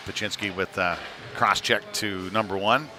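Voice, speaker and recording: A man doing live hockey play-by-play commentary in a halting delivery with mid-sentence pauses. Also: on the low side, steady tone. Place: indoor ice rink during a game. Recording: noisy rink sound behind the voice. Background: clear venue noise.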